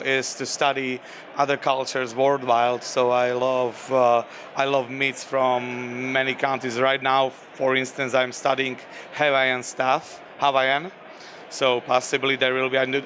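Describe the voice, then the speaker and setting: A man from Slovakia with a Slovak accent, a game designer speaking in a convention interview, halting with many fillers and restarts. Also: medium pitch, flat delivery, a bit bright, slight background noise.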